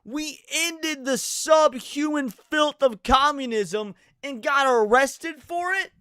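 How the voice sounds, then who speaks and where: A man giving casual, crude reaction commentary as a streamer, thinking out loud, swearing freely and reading text aloud. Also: extremely high pitch, slow, dry recording, clean studio sound. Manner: crude, profane, opinionated.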